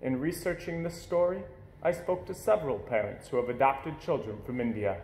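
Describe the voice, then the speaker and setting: A man reading a children's picture book aloud in an expressive storytelling style. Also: mid-range voice, moderate pace, reverberant, poor audio.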